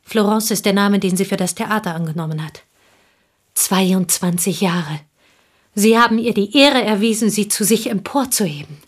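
A woman's voice: mid-range voice, normal speed, slightly rough, close to an everyday voice. A woman playing an earnest, loyal confidante in a radio play, formal and respectful yet firm and reproving. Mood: earnest, admiring.

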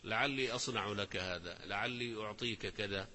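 A man teaching a lesson, explaining lines of poetry and reciting verses slowly with emphasis. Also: fairly low pitch, pushed and bright, long reverb tail. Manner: slow, deliberate recitation of verses with rising emphasis.

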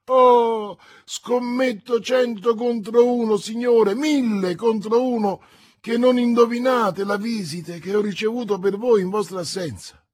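A man doing a breathless, high young servant. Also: very animated, a little slow, a little mellow, husky, dry recording, studio quality, exaggerated voice. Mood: agitated. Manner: breathless, exclamatory. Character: excited young male manservant, fretful and eager.

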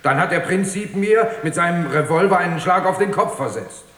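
An actor playing an aggrieved, officious police officer who testifies formally and precisely in a radio drama. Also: male voice, sits high, fairly quick, fairly bright tone. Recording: echoey hall with long reverb.

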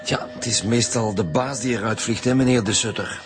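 An actor playing a blunt, suspicious Flemish chief inspector in a radio crime drama, probing and sardonic. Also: male voice, medium pitch, a little fast, lightly acted. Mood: sardonic, pressing. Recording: music behind the voice.

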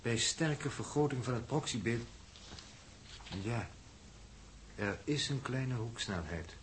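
Sixties radio sci-fi: careful navigator, medium pitch, precise testy delivery, light background noise. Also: male voice, lively intonation. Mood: focused, irritable. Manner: measured, precise, slightly irritable. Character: careful, exacting male spaceship navigator. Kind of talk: 1960s radio drama acting. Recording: noisy 1960s radio drama recording.

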